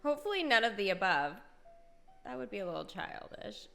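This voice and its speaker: A woman's voice: mid-range voice, very animated, brisk, vocal fry. A woman streaming a video game with casual, chatty, giggly commentary. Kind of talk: casual playthrough commentary and reading along. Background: soft game music.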